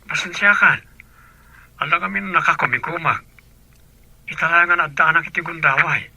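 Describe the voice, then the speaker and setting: Casting: a smooth-talking, insistent boyfriend, sharp bright voice, Ilocano accent, radio melodrama, dry close sound. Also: male voice, fairly high pitch, a little fast, no room sound, exaggerated voice. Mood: placating. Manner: placating, insistent. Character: Danny, smooth-talking young male philandering boyfriend, defensive. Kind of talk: Filipino radio drama acting.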